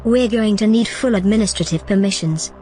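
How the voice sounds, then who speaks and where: A woman's voice: medium pitch, a little fast, dark tone, natural voice. A woman playing a determined artificial intelligence in an audio drama, composed, persuasive and insistent. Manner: composed, insistent, matter-of-fact. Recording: music behind the voice.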